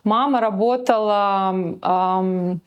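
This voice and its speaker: A woman's voice: medium pitch, takes it slowly, smooth, muffled recording. A young woman with a Latvian accent, speaking as an interview guest; she hesitates with many fillers and slips English words into her speech. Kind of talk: casual interview chat.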